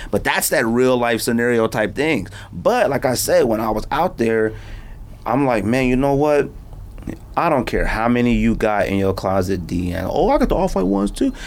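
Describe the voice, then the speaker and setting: A middle-aged American man talks as a podcast host in a studio, at an ordinary pitch. He rambles through anecdotes in loose, slangy speech, casual and conversational. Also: moderate pace. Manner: slangy, animated storytelling with frequent "man" and "fam".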